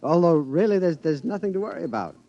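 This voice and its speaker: An actor playing a henpecked middle-aged American husband in a 1950s radio drama, furtive and gleeful, animated and conspiratorial, repeating his words. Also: a little high, fast talker. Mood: relieved. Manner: animated, conspiratorial, stammering repetitions. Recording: dull old radio recording, faint noise.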